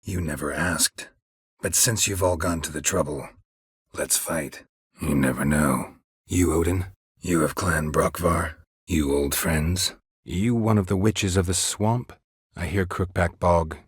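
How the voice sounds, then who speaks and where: An actor playing a gruff, weary, sardonic monster hunter for hire in a video game, with terse, deadpan delivery and a low gravelly voice. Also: male voice, unhurried, no room sound, heavily acted. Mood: casual, probing. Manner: terse, dry, deadpan, clipped sentences. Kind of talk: video game character dialogue.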